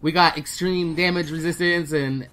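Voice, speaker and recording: A woman hosting a gaming video, giving casual, animated news commentary with informal talk and plenty of swearing. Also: sits low, moderate pace.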